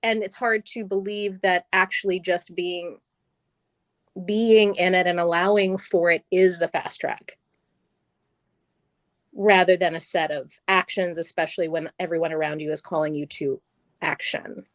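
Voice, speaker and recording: A woman's voice: on the low side, steady tone, a little slow, dry recording. A middle-aged woman, a guest on stage at a live event, talking casually and animatedly, laughing often and restarting her sentences.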